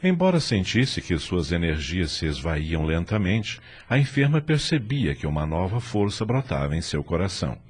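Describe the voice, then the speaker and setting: A man's voice: low voice, big pitch swings, moderate pace, hardly any pauses, slightly rough. A man narrating an audio drama in a scripted, literary storytelling style.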